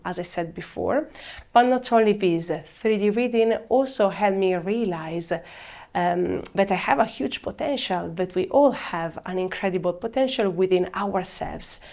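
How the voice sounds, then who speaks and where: A woman in her thirties from Italy with an Italian accent, giving a measured presentation that slows down to stress key words. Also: mid-range voice, darkish tone. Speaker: program presenter. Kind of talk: scripted presentation.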